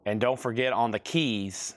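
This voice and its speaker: A man hosting a live record sale stream, briskly listing items and current bids with chatty asides to viewers. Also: medium pitch.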